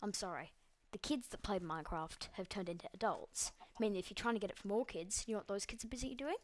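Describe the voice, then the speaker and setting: A woman's voice: medium pitch, fast, a little hiss or hum. Rambling young Australian woman gamer. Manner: rambling, full of ums and likes, swears freely. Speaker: gaming video creator. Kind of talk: casual gameplay commentary.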